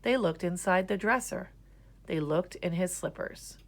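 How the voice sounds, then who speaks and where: A woman's voice: lowish voice, measured pace, gravelly. A woman reading a children's picture book aloud as a storyteller. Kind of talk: scripted children's story narration.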